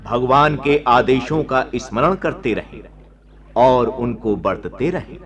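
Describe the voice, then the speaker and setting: An actor playing a serene, resolute monk teacher in a radio play, solemn and declamatory in formal, elevated diction. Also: male voice, medium pitch, slow.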